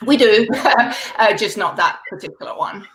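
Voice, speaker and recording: A woman in her forties, raised speaking Dutch in the Netherlands, doing a stand-up set over a video call with dry deadpan delivery and laughing asides. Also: on the high side, measured pace, noisy background. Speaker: stand-up comedian.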